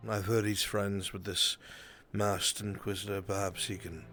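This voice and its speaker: A man playing a weary, authoritative fantasy lord and commander in improvised roleplay, speaking in a measured, formal way. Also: on the low side, level tone, fairly bright tone. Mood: measured, disapproving. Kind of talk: live improvised roleplay acting. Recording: music behind the voice.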